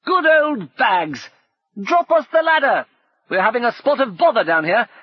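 Casting: bold jaunty adventurer in a storybook reading, played by a man, loud and pitched up, slightly husky. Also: animated, normal speed, no echo, lo-fi sound. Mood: shouting. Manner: shouting, hearty, clipped upper-class bluster. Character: bold reckless male adventurer, brash and jaunty. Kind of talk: audiobook character voice.

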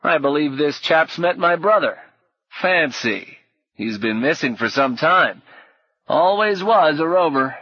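A man's voice: a little high, animated, measured pace, slightly rough, no room sound, muffled recording, voice pushed up. A man voicing a vague, befuddled, polite knight in an audiobook, with clipped, dithering, chummy old-fashioned phrasing. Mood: chatty, puzzled. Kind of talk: audiobook character acting.

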